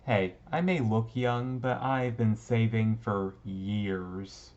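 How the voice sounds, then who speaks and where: A man playing a shy, young-looking goth vampire classmate in a romantic roleplay, speaking hesitantly and casually to the listener with gentle teasing. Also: on the low side, slow, dull audio. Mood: touched, bashful. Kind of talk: romantic roleplay audio drama, talking to listener.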